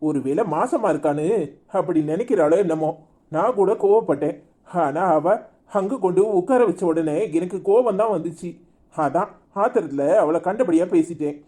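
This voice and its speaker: A gruff middle-aged husband scolds and grumbles at home, as a woman plays him. His voice sits low, with a dark, mellow tone. He comes across as a stern figure in a comic family skit. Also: animated, moderate pace, lightly acted.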